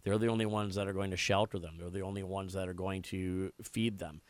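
A man's voice: sits low, fairly even intonation, fairly quick, no echo. An American man hosting a live talk radio show, discussing issues and interviewing guests. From United States.

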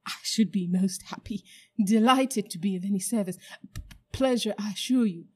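A nervous old gentleman magician, as a woman reading a storybook plays him, gasping and stammering through his flattery. His voice sits a little higher and louder than the narration, tripping over its own polite phrases. The tone stays soft and mellow. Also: normal speed, natural voice. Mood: fawning. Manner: stammering, flustered, overly polite.

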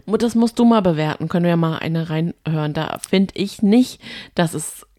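A woman co-hosting a casual podcast chat, reacting quickly and giving her opinions freely. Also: lowish voice, warm tone, dry recording, clean studio sound. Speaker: podcast co-host. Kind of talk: casual chat about a radio play series.

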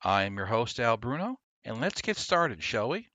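Bright, punchy male podcast host. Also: mid-range voice, sing-song, normal speed, no echo. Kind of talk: scripted podcast monologue and film review. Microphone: close, dry podcast microphone.

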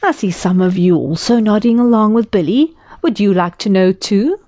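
A woman's voice: medium pitch, lively intonation, moderate pace, dark tone, no echo. A woman reading a children's picture book aloud, chatting to her young listeners and dropping into whispered asides. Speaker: children's storyteller.

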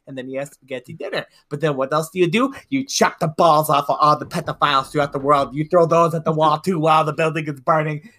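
A man acts out an excitable Italian cook with a loosely imitated accent. He explains in a theatrical sing-song, repeating himself for emphasis, in a slightly high voice. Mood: absurd, emphatic. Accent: loose put-on Italian.

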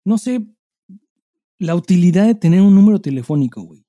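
A man's voice: high-pitched, lively intonation, brisk, mellow, no room sound, studio quality. A Mexican man co-hosting a podcast, chatting casually in slang with plenty of swearing and acting out dialogue as he tells anecdotes. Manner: informal, profanity-laden, acts out dialogue while telling anecdotes. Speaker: podcast co-host. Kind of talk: casual chat with slangy storytelling.

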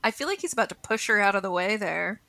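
Woman chatting over a movie, kinda wry and teasing, normal pitch, no room sound. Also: lively intonation, fast, vocal fry, sharp tone, no echo. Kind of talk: casual film commentary chat.

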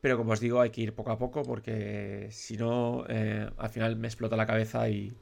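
A man's voice: on the low side, flat delivery, brisk, creaky, a little mellow. Congested nasal man hosting radio. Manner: rambling with many fillers and restarts. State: congested with a cold. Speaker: radio show host. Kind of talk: casual radio talk.

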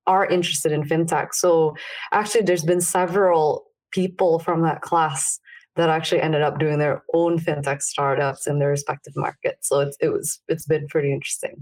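A young woman from Indonesia talks about her work as a podcast guest. She speaks in a low voice and explains at length in an earnest way. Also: fairly even intonation, moderate pace, a little mellow. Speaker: startup founder guest. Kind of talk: casual interview answers.

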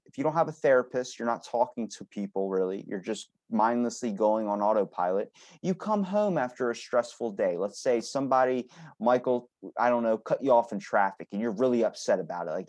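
A man's voice: mid-range voice, a little mellow, no room sound. A man hosting a podcast, talking in an informal, earnest, rambling and introspective way. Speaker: podcast host. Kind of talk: casual, introspective podcast chat.

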